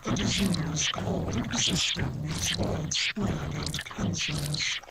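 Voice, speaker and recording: A woman's voice: sits low, takes it slowly, very noisy. A woman voicing a cryptic, brooding creature that disdains existence, in a visual novel game. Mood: bleak, disdainful. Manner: cryptic, philosophical monologuing. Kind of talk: visual novel character acting.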